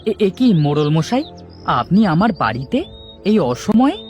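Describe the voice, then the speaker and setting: A woman's voice: fairly low pitch, very animated, normal speed, a little mellow, lo-fi sound, soft background music, voice pushed down. Meek cartoon villager, a woman putting him on, worried and humble, voice swinging up and down. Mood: pleading. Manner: pleading, anxious, deferential. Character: poor young village husband, meek and worried.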